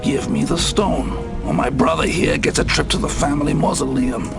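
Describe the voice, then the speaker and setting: Gravelly, taunting male villain. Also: on the high side, fairly even intonation, normal speed, over loud music. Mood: menacing, gloating. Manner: theatrical, taunting. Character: menacing, mocking villain, scornful and power-hungry younger brother. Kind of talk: video game voice acting.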